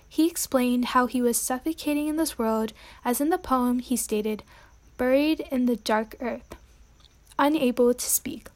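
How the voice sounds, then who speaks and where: A young woman hosting a student poetry podcast, reading her commentary in an earnest, read-aloud way. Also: high voice. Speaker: podcast host.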